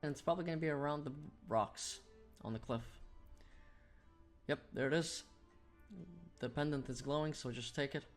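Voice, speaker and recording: A man's voice: on the high side, moderate pace, pauses often. Man giving game walkthrough over music. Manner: explanatory, step-by-step. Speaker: video game guide host. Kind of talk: walkthrough commentary, casual instructional.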